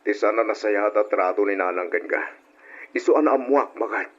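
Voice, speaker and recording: An actor playing a grateful, tender young husband in a gentle, earnest radio drama style. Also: male voice, on the low side, normal speed, husky. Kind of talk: old-time radio drama acting. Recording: muffled old radio recording.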